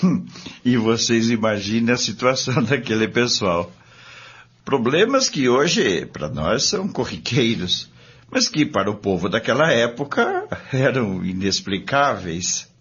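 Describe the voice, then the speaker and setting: A man with a Brazilian accent playing a narrator in a scripted radio drama, recounting spiritist history to companions in a husky voice. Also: medium pitch, very animated, measured pace, a bit bright, exaggerated voice. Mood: conversational, explanatory. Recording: dull, lo-fi audio.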